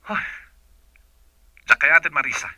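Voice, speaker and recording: A man's voice: on the high side, measured pace, pushed and bright, no echo, studio quality. A man playing a cold, irritated boyfriend in an Ilocano radio drama, curt and dismissive, with a Filipino accent.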